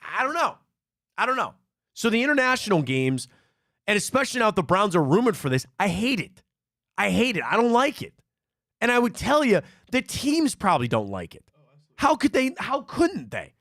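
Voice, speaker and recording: A sports talk host from Cleveland with a high voice that swoops all over as he tells stories and repeats his points. The sound is dry and close, with little room around it. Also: normal speed, dry recording. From Cleveland, Ohio. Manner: animated storyteller, repeats himself. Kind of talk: live sports talk show.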